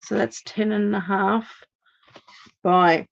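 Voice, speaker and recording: A woman from Australia, a little hoarse after laryngitis, giving a chatty step-by-step live card-making demonstration at her craft table. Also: mid-range voice, slow pace, muffled recording. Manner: chatty, steady step-by-step instructions.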